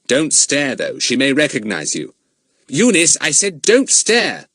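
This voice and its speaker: A man voicing a clever schoolboy detective who gives brisk, firm orders. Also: medium pitch, sing-song, a bit bright, husky, dry recording, studio quality, voice pushed up, full character voice. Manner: brisk, commanding.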